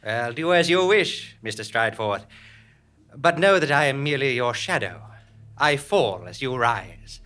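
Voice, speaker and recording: Man playing a composed, bright-voiced mastermind nemesis. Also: medium pitch, expressive. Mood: resigned, solemn. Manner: formal, measured, portentous. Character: composed, courteous male nemesis, architect of reality. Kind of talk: theatrical live audio comedy drama.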